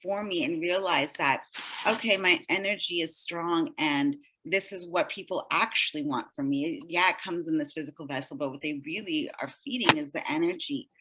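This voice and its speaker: A woman's voice: low voice, normal speed, sharp tone, slight background noise, rough recording. A middle-aged woman speaking earnestly as an interview guest, explaining things and often checking in with the listener. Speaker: podcast guest, energy healer. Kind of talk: heartfelt interview conversation.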